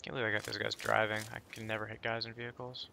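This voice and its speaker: A man's voice: fairly low pitch, flat delivery, very fast, gravelly. A man chatting casually with his squad and calling out positions in an online game. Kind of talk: casual gaming chat and callouts. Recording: noisy game voice chat with clicks.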